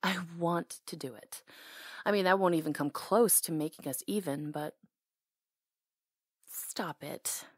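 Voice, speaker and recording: A woman's voice: on the low side, a little slow, pauses often, no echo, studio quality. A woman acting in an intimate ASMR roleplay as a hair stylist, talking casually in a caring, teasing way.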